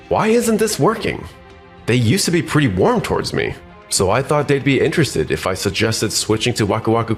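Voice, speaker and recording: A man playing a self-serving, scheming office worker in an anime-style dub, excitable and easily flustered. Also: on the low side. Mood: frustrated. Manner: stammers when cornered, excitable. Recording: music bed behind the voice.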